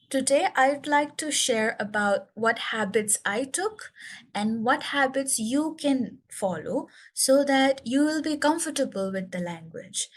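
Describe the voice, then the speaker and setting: Young Nepali woman, Newari native speaker, sits a little high in pitch, earnest and explanatory with plenty of uh and um. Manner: earnest, explanatory, frequent uh and um fillers. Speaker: speaker sharing her English learning story. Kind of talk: prepared personal talk to learners.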